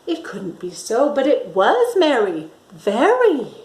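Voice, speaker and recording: Lady reading storybook to little kids, slow n expressive, bit high, echoey room. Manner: expressive, dramatic emphasis. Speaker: storytime reader. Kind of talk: storybook read-aloud with chatty asides to young children.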